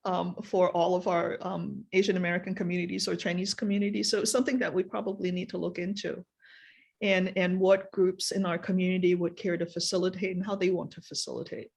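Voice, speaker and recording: A woman's voice: on the low side, flat delivery, brisk, vocal fry, a little mellow. Hesitant woman chairing a meeting. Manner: hesitant, repeats words while thinking. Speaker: committee chair.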